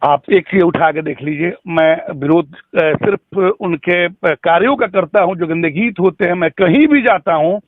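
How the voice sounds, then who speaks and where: Guy with a high voice doing a phone interview, earnest, opinionated, thin tinny call audio. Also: moderate pace, few pauses, no echo. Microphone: telephone.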